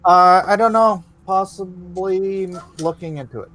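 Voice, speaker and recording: A man's voice: high voice, a little slow, fairly bright tone, a little hiss or hum. A man chatting casually while he draws and muttering to himself about his work. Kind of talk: casual chat while drawing.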